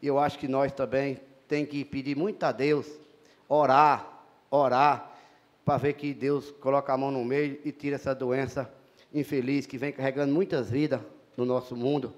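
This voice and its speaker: A middle-aged man with a Bahian Portuguese accent, giving a formal, emphatic inauguration speech as a city councilman in a council chamber, speaking into a handheld mic at a lectern. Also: mid-range voice. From Brazil. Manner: formal, emphatic, repetitive phrasing.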